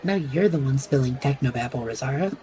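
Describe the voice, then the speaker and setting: A woman's voice: very deep voice, animated, brisk, dark tone, a little hiss or hum. A woman playing an impulsive, chatty male traveller with a flippant, theatrical manner in an audio drama. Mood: baffled.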